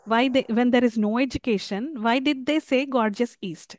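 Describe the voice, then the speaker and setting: Indian English woman, teacher in an online class, questioning, high and dry. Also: darkish tone, no room sound. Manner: halting, many fillers, asks class questions.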